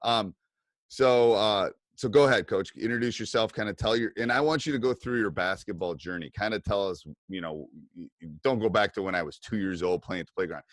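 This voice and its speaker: A man's voice: fairly low pitch, brisk, no echo. Middle-aged male coach as host, chatty and loose, dry close mic. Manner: rambling, lots of fillers and restarts. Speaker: basketball coach and podcast host. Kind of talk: casual video-call interview.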